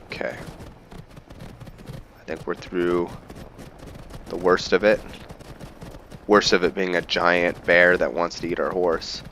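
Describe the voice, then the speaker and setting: Low-voiced, unhurried male gamer. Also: level tone, pushed and bright, slight background noise. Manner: thinking aloud to viewers, half in roleplay. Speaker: gaming video host. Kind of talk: casual let's play game commentary.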